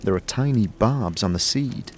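A man with a fairly low voice narrates a scripted fantasy story. His delivery is lively and varied in pitch, with soft music underneath. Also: normal speed.

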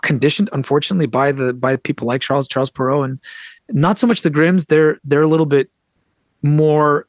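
A man's voice: fairly high pitch, steady tone, brisk, no room sound. An American man explaining things in a conversational interview as a guest, articulate and discursive, with frequent asides. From United States. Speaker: podcast guest, author and translator.

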